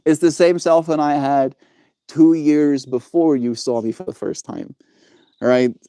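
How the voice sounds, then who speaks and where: A man's voice: on the high side, fairly even intonation, unhurried, mellow, clear, resonant tone, no echo. A young man chatting casually on his livestream, laid-back and encouraging, answering viewers and calling them bro.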